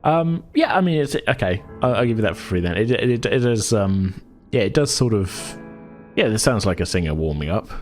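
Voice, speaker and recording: A man's voice: lowish voice, lively intonation, normal speed, faint music behind. A man narrating a tabletop roleplaying game as game master, casual, chuckling and rambling.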